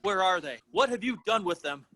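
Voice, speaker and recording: A man's voice: high-pitched, animated, brisk, bright, forceful tone, dry recording, studio quality, heavily acted. An actor playing a desperate, agitated family man in a pleading, old-time radio drama style. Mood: bewildered, alarmed.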